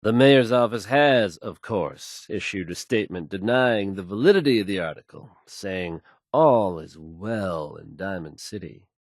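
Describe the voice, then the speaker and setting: Smooth confident old-timey radio dj from a game, kinda low voice, dry and folksy. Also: male voice, lively intonation, a little slow, dry recording, exaggerated voice. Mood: measured, assured. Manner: assured, folksy, showman-like. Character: confident, smooth-talking male retro radio DJ. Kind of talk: old-time radio DJ announcing, in a video game.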